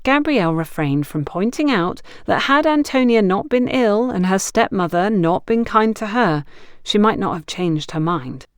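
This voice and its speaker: A woman narrates a scripted story for an audio series. Her voice sits at a middling pitch and moves expressively across the phrases. The recording is dry, with no audible room. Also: lively intonation, moderate pace, clean tone, no room sound.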